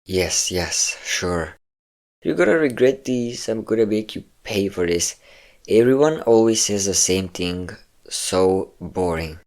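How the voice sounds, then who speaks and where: A man performs a possessive vampire lord with a deep male voice. He is mocking and smug, lingering on pet names as he teases. The delivery is intimate, in the manner of an audio roleplay about an obsessive lover. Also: lively intonation, darkish tone, exaggerated voice. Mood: bored, commanding. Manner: smug, teasing, drawn-out endearments.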